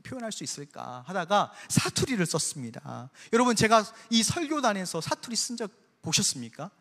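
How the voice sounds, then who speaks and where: A man's voice: sits high, sing-song, fairly quick, vocal fry, a bit bright. Emphatic preaching man in a reverberant sanctuary. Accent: Seoul Korean, trying to hide his Jeolla dialect. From Jeolla region, Korea. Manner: emphatic preaching, rhetorical questions to the congregation. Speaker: pastor. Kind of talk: sermon. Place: church sanctuary. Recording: long reverb of a church sanctuary.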